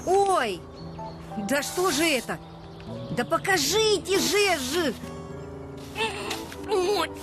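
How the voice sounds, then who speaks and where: Very squeaky, excitable cartoon little boy. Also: over music, full character voice. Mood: puzzled. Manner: exclamatory, naive, drawn-out words. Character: excitable, curious, naive little boy alarm clock. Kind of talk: children's cartoon voice acting.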